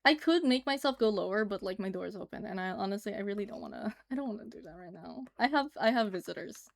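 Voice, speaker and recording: A woman's voice: medium pitch, animated, fast talker, creaky. A woman livestreaming as she draws, chatting casually and giggling as she answers her chat. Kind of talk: casual chat while drawing on stream.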